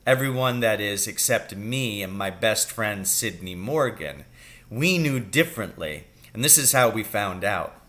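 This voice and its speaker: A man reading a children's story aloud as a teacher would, with expressive narration. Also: on the low side, measured pace.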